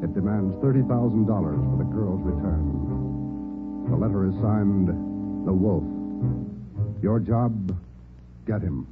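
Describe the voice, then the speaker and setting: A male announcer with a lowish voice speaking slowly and dramatically. Loud music sits behind him, and the sound is tinny and old. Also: animated. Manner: slow, dramatic, measured.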